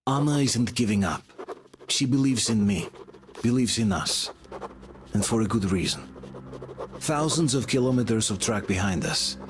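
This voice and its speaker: A man's voice: lowish voice, animated, moderate pace, long pauses, slight background noise, close to an everyday voice. A man playing a solemn, hopeful soldier who narrates his journey in a reflective way for a video game.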